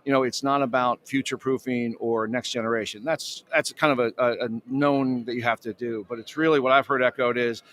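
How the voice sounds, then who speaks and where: A man speaks as an executive guest in a casual live interview. He talks at a quick clip in a medium-pitched voice. His manner is effusive and enthusiastic. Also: fairly even intonation, fairly bright tone, a little hiss or hum.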